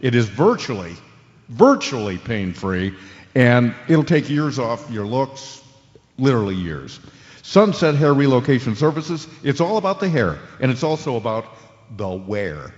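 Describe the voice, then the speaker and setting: A man's voice: medium pitch, very animated, unhurried. An actor playing an unflappable male sports talk radio host, moving between interview banter and polished ad-read patter. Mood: salesman-like, smooth. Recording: dull audio in a reverberant hall.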